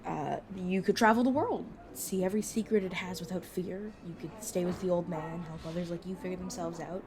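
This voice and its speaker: A woman playing a brisk, protective vampire mentor in an audio roleplay, talking casually and teasingly to a new fledgling. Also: fairly low pitch, a crowd in the background, natural voice. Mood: explaining, wry. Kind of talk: narrative audio roleplay, addressed to the listener.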